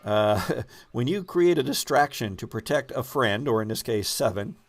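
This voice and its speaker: A man acting as game master and host, improvising narration and explaining rules in a halting, thinking-aloud way. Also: mid-range voice, lively intonation.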